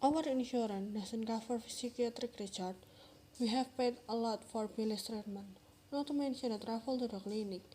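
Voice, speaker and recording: A woman's voice: fairly high pitch, normal speed, dark tone, slight background noise, close to an everyday voice, low-quality recording. A woman playing a weary, practical mother who reasons insistently and defensively, in an amateur radio drama.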